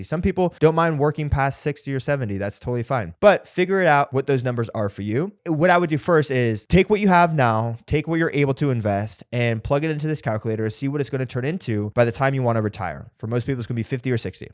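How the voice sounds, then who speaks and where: Energetic young man, dry close sound. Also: mid-range voice, dry recording. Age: 20s. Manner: energetic, fillers like "right?" and "dude"; bursts of excitement over numbers. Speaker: fitness business coach. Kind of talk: casual online training talk.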